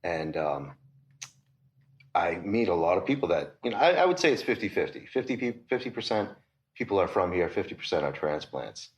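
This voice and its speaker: A man with a Boston accent, a record store owner chatting in a relaxed, thoughtful way as the guest of an interview. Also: low-pitched, brisk, lo-fi sound. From Massachusetts, USA. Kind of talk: casual interview chat.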